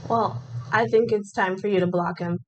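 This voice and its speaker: A woman host reporting findings in a calm, informative way. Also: mid-range voice, moderate pace, rough recording.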